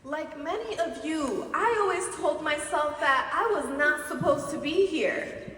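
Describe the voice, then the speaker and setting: A woman from the Dominican Republic giving a commencement keynote, speaking slowly and deliberately with emphatic pauses. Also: high voice, expressive, noisy background. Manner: slow, deliberate, rhetorical speech with emphatic pauses. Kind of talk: commencement speech. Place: large auditorium stage. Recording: distant, echoey hall with long reverb.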